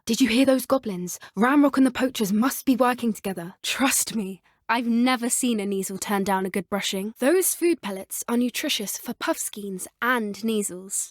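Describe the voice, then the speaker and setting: A woman voicing a kind, eager teenage witch with a British accent in a video game, speaking in an earnest, articulate and gentle manner. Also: on the high side, no echo, clean studio sound, natural voice. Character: kind, eager teenage witch schoolgirl who loves magical creatures. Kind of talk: scripted video game character dialogue.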